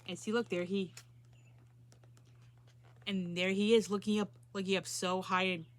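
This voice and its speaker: Female storyteller, mid-pitched, reading a picture book to children with simple chatty asides. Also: flat delivery, unhurried.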